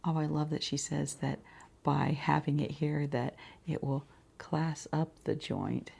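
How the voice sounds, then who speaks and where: A woman with a low, mellow voice and a creaky edge speaks quietly, as in an ASMR shop roleplay. She is soft and breathy, often dropping to a whisper, and takes her time with gentle pauses. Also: steady tone. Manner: unhurried, gentle pauses, murmured acknowledgements. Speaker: ASMR roleplayer as boutique shopkeeper.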